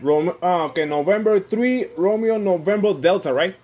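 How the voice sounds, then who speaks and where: A man's voice: high voice, expressive, moderate pace, background noise. A friendly man working amateur radio contacts, repeating call signs and phrases in a casual way. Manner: friendly, repeats himself, often says "my friend".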